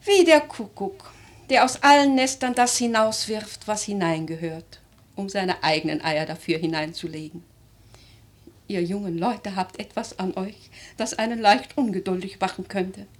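Radio drama grandmother, played by a woman: aristocratic old lady, imperious yet life-loving, middle-pitched, stagey lyrical phrasing. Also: natural voice. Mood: impatient, scolding. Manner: theatrical, measured, lyrical, with emphatic swells and hushed phrases. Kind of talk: literary German radio drama acting.

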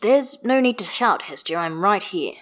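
A woman reading the part of a gentle adult man who patiently calms his small daughter in a storybook reading. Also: medium pitch, normal speed, lightly acted. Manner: soft, reassuring. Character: gentle adult male wizard, patient father.

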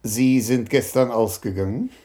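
An actor playing a composed police commissioner who questions people in a measured, polite way in a radio drama. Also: male voice, mid-range voice, warm tone, natural voice. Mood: composed, probing. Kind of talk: radio crime drama acting.

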